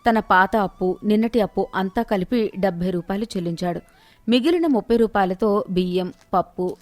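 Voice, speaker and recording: A woman's voice: medium pitch, a little mellow, dry recording. A woman narrator reading a scripted moral tale in storytelling style.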